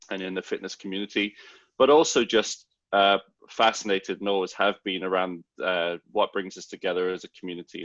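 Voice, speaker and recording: Deep-voiced Scottish man, dull dry audio. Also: a bit bright, dry recording. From Scotland. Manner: thoughtful, explains at length with restarts. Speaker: co-founder guest. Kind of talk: casual interview talk.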